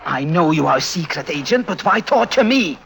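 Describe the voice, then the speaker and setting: An actor playing a troubled, defensive German circus clown, speaking formal, stilted English with a German accent in a 1950s radio drama. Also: male voice, on the high side, animated, a little fast, creaky, a bit bright. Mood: suspicious, menacing.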